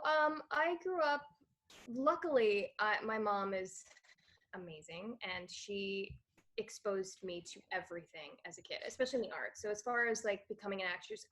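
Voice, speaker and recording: A woman in her thirties from Montreal, chatting as an interview guest. She tells lively stories and laughs often, and her voice sits at an ordinary middle pitch for a woman. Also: normal speed. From Canada, Montreal. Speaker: guest actress. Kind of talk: casual interview chat.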